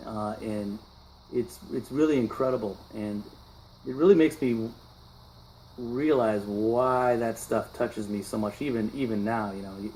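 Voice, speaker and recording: A man in his fifties with a mid-range voice, talking as a musician being interviewed on the radio. He speaks casually and reflectively, halting and restarting phrases as he works toward his point. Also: medium pitch, darkish tone, slight background noise, low-quality recording. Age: 50s. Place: radio broadcast session.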